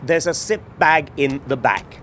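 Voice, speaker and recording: A man's voice: mid-range voice, unhurried, noisy background, lightly acted. A man playing an exasperated British agent in a comedic audio drama, exclamatory and incredulous. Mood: urgent. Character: exasperated, excitable male British junior agent.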